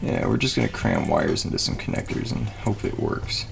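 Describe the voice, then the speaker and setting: A man with a low voice talks casually through a hands-on repair. He rambles and jokes in a wry, sweary way as host. Faint music sits behind him. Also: huge pitch swings, moderate pace, gravelly.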